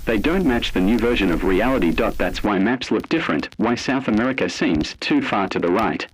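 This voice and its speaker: A man delivering scripted analog horror narration in an ominous, solemn and deliberate manner. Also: fairly low pitch, lively intonation, husky, low-quality recording.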